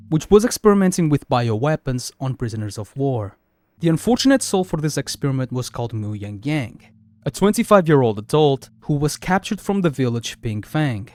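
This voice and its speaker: A man's voice: on the high side, darkish tone, no echo. A young man narrating a scripted video as its host, with chatty asides. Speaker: YouTube host.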